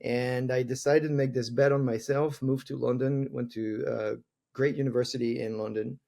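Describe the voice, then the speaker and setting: Gravelly-voiced man with a French accent. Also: mid-range voice, fairly even intonation, normal speed, warm tone. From France. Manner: earnest, reflective, laughs easily. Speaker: guest, tech executive. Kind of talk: conversational interview answers.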